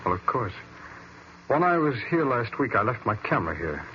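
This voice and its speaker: Guarded gentleman on muffled old radio, acted by a man. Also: medium pitch, very animated, normal speed, lightly acted. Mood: guarded, subdued. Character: dead man's nephew, a guarded, polite gentleman. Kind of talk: old-time radio drama acting. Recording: muffled, hissy vintage radio recording.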